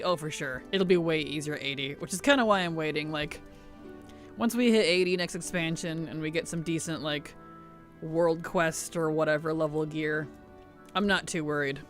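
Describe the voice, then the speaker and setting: A chatty streamer talks through a gameplay session at a mid-range pitch, sucking on a cough drop. The throat sounds a little rough, and faint game music plays behind the voice. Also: normal speed. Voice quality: sensitive, slightly rough throat. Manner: chatty, answers chat while playing. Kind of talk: casual gameplay chat.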